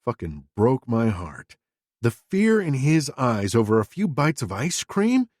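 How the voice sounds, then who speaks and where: A man's voice: mid-range voice, wildly swooping pitch, unhurried, darkish tone, dry recording, studio quality. A man voicing a crude, defensive middle-aged father who talks in a blunt, profane, self-justifying way. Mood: bitter, angry.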